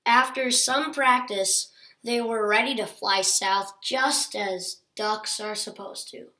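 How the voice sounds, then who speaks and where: A woman's voice: medium pitch, takes it slowly, sharp tone. A woman reading a children's picture book aloud as a storyteller.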